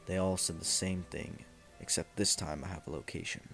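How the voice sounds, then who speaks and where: A brooding masked vigilante played by a man in a superhero radio play. His voice is deep and gravelly with a threatening edge, over faint music. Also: steady tone, moderate pace, a bit bright. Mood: brooding, determined.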